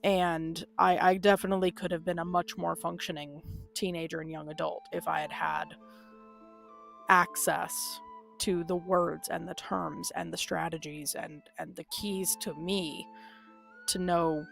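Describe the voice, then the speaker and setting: A woman's voice: on the low side, flat delivery, a little slow, vocal fry. A woman live-streaming a game, talking casually and candidly. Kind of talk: casual live-stream chat over gameplay. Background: video game music.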